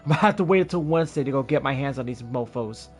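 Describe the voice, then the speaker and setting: A man's voice: mid-range voice, animated, rapid-fire. A tired man giving casual livestream gameplay commentary, slangy, with mild swearing and muttered asides. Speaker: game streamer. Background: game music.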